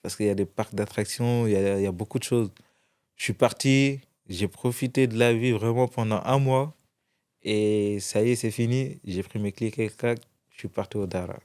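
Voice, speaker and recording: A man's voice: on the low side, steady tone, normal speed, darkish tone. A young man from France, a guest in an interview, telling his story casually with many restarts. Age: young adult.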